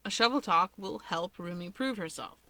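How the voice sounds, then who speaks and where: A woman's voice: lowish voice, moderate pace, bright, forceful tone. A woman playing a haughty, protective older brother in a story, with blunt, dry delivery. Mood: insistent.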